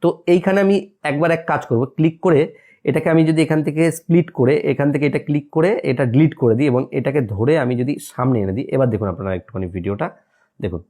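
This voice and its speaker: A man's voice: medium pitch, fast, mellow. A man presenting a step-by-step screen tutorial in an instructional way, often asking a question and then answering it himself.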